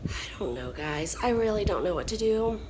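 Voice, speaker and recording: A young woman vlogging casually, hoarse and coughing, weary and frustrated. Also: medium pitch, expressive, noisy background. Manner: tired, frustrated, drawn-out speech. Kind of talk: casual vlog chat.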